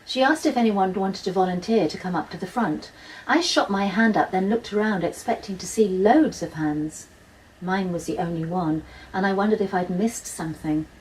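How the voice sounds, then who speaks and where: A woman's voice: medium pitch, normal speed, a little mellow, slight background noise. Woman reading a children's story, slightly distant. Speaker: author reading from a children's novel. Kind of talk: first-person children's audiobook narration.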